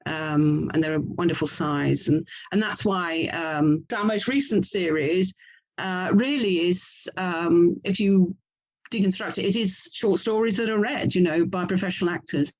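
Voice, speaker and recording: A woman's voice: lowish voice, unhurried, rough recording. A woman from England speaking as an interview guest, thinking aloud and often correcting herself as she explains. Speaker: interview guest, author and audio drama producer. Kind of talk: conversational interview.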